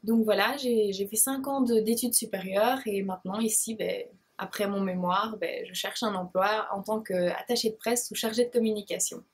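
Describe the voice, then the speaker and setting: Shy young Belgian woman, breathy voice. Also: mid-range voice, fairly even intonation, normal speed. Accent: Belgian French, Liège province. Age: 20s. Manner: hesitant and shy, many fillers and restarts. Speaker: beauty blogger and vlogger. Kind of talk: casual talk to camera, answering viewer questions.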